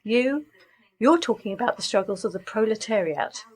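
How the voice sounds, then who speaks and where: An actress in a radio play playing a blunt, pragmatic sister with a wry way of talking. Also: female voice, fairly high pitch, lively intonation, normal speed, darkish tone. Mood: wry, pressing.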